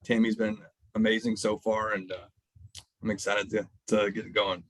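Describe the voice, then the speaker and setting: A man's voice: on the low side, level tone, normal speed. A young man introducing himself as a design associate on a video call, in a hesitant, modest way. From Texas, USA.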